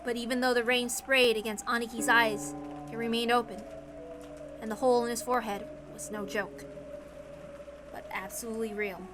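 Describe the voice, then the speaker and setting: A woman reading a dramatic story aloud as narrator, expressive, theatrical and deliberate. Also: on the high side. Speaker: reader of a visual novel. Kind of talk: dramatic scripted narration with acted dialogue. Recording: background music under the reading. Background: music.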